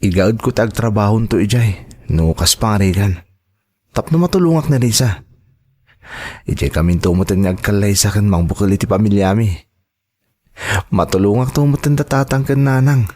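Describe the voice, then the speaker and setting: A man's voice: on the low side, expressive, moderate pace, pauses often, warm tone. A man with an Ilocano accent acting a trusting, devoted young son and partner in an audio drama, speaking earnestly and reassuringly. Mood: hopeful, reflective.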